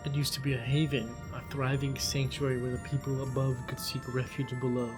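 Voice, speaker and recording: A man's voice: medium pitch, flat delivery, a little fast. A man narrating a scripted fantasy story podcast, with conversational asides. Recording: background music under voice.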